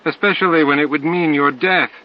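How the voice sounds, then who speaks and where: A man's voice: on the high side, a little fast, pitched above the performer's own voice, close to an everyday voice. A man voicing a confused, anguished insectoid alien hive master in an audiobook, speaking in a formal, earnest way. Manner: formal, earnest, bewildered speech. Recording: dull lo-fi audio, clear noise.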